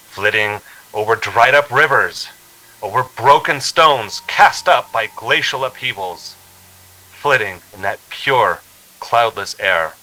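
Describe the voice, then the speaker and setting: A man's voice: on the low side, bright, forceful tone, low-quality recording. A man acting a frightened, desperate motorist in a radio drama, intense and anguished in delivery. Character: frightened, desperate young motorist haunted by a phantom hitchhiker.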